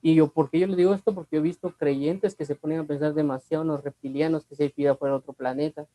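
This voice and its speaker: Preacher, Guatemalan accent, man, conversational and repetitive, warm tone, no room sound. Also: fairly high pitch, fairly even intonation, quick pace, no room sound, low-quality recording. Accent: Guatemalan Spanish. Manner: conversational, repeats himself, asks rhetorical questions. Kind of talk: Bible teaching.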